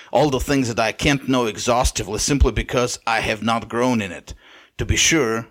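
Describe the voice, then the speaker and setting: A man with a Bulgarian accent, whose first language is Bulgarian, hosting a podcast and lecturing in a measured monologue with occasional restarts. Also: medium pitch, fairly bright tone. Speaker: podcast host. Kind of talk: monologue commentary.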